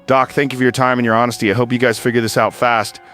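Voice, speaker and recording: A man's voice: medium pitch, flat delivery, fast talker, a bit bright, faint music behind, natural voice. A man playing an alarmed, folksy radio host with an urgent, colloquial way of talking in an audio drama.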